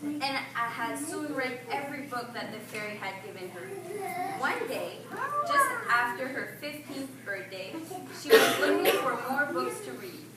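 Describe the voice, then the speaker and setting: A woman's voice: high voice, sing-song, measured pace. A woman telling a story to young children as the narrator of a puppet show, in an instructive way. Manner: instructive, prompts listeners with questions. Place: public library event room. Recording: echoey room from far off, noisy.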